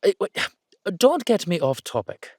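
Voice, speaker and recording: A man's voice: high-pitched, big pitch swings, unhurried, darkish tone, no echo, clean studio sound, heavily acted. A man playing an exasperated but affectionate Irish dullahan rider, chatting coaxingly and teasingly to his horse in a roleplay audio drama. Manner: conversational, coaxing, teasing, slangy.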